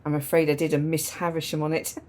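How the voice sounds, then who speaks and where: A woman's voice: sits low, little pitch movement, fast, a little mellow, lightly acted. An actress playing a frank, wry, grieving woman in a naturalistic radio drama, speaking reflectively and repeating phrases for emphasis. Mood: wistful, wry. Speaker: radio play actor.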